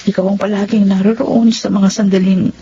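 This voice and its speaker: A woman's voice: mid-range voice, flat delivery, brisk, dark tone, slight background noise, lightly acted. Muffled, heartfelt young expectant mother, acted by a woman. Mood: tender, grateful. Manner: heartfelt, reflective. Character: gentle, grateful expectant mother. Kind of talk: Tagalog radio drama acting. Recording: muffled, clear music bed.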